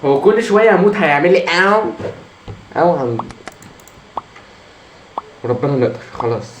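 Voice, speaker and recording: A hoarse man with an Egyptian Arabic accent, commenting on his own gameplay, muttering encouragement to himself and breaking into frustrated outbursts. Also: a little high, sing-song, moderate pace. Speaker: gamer. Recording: echoey room with faint noise.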